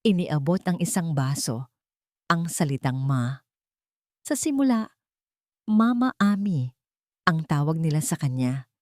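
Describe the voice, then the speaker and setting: A woman reading a scripted dramatic story as narrator, in short, deliberate, measured phrases. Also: low-pitched, animated, darkish tone, no room sound, clean studio sound.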